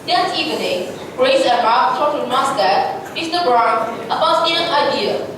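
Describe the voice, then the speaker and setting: Female narrator, high voice, scripted stage reading, very echoey, distant mic, clear background noise. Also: expressive, a little slow. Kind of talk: scripted reader's theatre narration.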